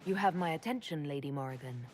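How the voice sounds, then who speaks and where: A woman's voice: low-pitched, animated, fast talker, darkish tone, slight background noise. A woman playing a resolute, inquisitive elven leader in a fantasy game, with a plain, direct, commanding manner. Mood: intent, questioning.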